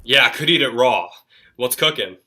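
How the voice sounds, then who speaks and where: A woman acts an impatient, trigger-happy gangster in a vintage radio drama. The voice is low and forceful, with blunt, terse, slangy lines and a defensive edge. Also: animated, moderate pace, full character voice. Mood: gruff.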